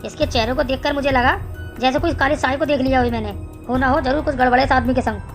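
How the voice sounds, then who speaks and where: A woman playing a grave, insistent male doctor from the city in an animated horror story. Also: high voice, fast talker, faint music behind. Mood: suspicious. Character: suspicious, determined male city doctor investigating villain.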